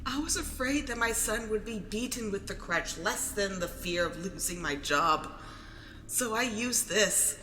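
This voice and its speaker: Casting: a stern, judgmental figure, mid-pitched, clinical delivery, heavy echo. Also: female voice, animated, a little slow, bright, forceful tone. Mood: guarded confession. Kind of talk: audio drama acting. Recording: echoey reverberant space, clear noise.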